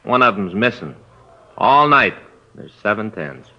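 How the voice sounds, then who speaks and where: An actor playing a put-upon police detective who tells a rambling, aggrieved story, in 1950s radio drama style. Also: male voice, fairly low pitch, very animated, measured pace, sharp tone, exaggerated voice. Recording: dull old radio broadcast.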